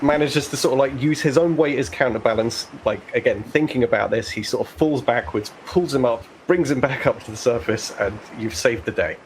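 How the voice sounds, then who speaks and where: A man as game master, explaining rules and narrating conversationally, pausing to think aloud. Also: medium pitch, a crowd in the background, rough recording.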